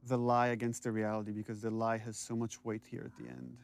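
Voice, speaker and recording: A man's voice: mid-range voice, flat delivery, quick pace, creaky, no echo. A man giving casual director's commentary on a game in a thoughtful, explanatory way.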